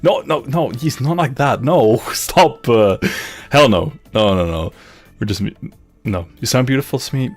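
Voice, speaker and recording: Young guy w/ dutch-flemish accent yapping to chat from his gaming room, super bouncy pitch, laughs a lot. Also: measured pace. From grew up in Luxembourg, Dutch parents, lives in Belgium. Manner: laughs often, animated, repeats himself. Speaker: streamer. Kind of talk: casual live-stream chat, reading chat aloud. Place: home gaming room with monitors. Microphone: studio mic on boom arm, headphones.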